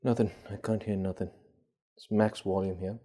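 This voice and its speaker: A man with a low, dark and slightly husky voice talks through a casual hands-on demo. He sounds hesitant and unscripted, as if thinking aloud while he works. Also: steady tone, normal speed. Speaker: gadget collector and video host.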